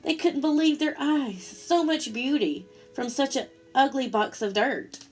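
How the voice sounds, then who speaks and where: A woman storyteller reading a picture book aloud to children in an expressive way, talking directly to her listeners. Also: high voice, measured pace. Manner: expressive, asks the listeners questions.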